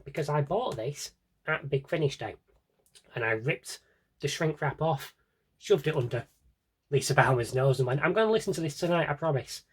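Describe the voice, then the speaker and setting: A man's voice: mid-range voice, breathy edge. A man talking casually and off the cuff as a vlogger, rambling with tongue-in-cheek asides. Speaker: vlogger showing an audio drama CD collection. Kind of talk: casual unscripted chat to camera.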